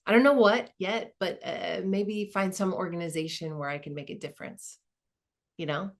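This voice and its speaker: A woman playing a reflective, earnest professor in her sixties, in a halting, conversational stage monologue. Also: on the low side, fairly even intonation, lightly acted. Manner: halting, thoughtful, colloquial. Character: reflective, earnest 64-year-old woman, professor nearing retirement. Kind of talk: dramatized first-person monologue, conversational.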